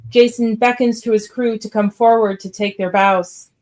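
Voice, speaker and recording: A woman's voice: on the high side, steady tone, moderate pace, darkish tone. A woman narrating stage directions in a scripted radio-theatre read.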